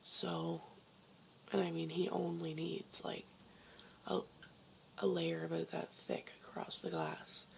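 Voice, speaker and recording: A woman vlogger with a hoarse voice, chatting casually and rambling with lots of ums from her basement bedroom. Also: sits low, very animated, slow pace, pauses often, slight background noise, poor audio. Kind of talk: casual chat to camera.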